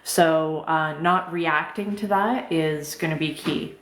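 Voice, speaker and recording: Soft-spoken woman coach in a roomy space. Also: sits low, takes it slowly. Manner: gentle, informal, many fillers, occasional swearing. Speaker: life coach. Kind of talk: live-streamed talk answering chat questions. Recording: roomy echo.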